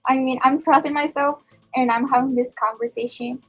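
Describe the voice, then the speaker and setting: Earnest high-voiced woman co-host. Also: fairly even intonation, brisk, a bit bright, low-quality recording. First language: Spanish. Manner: earnest, encouraging, repeats "I mean". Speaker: student co-host. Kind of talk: casual radio chat. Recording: school radio studio with music bed.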